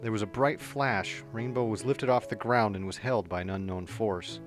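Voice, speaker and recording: A man narrates a story calmly and steadily in a low voice. Guitar music plays clearly behind him. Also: normal speed.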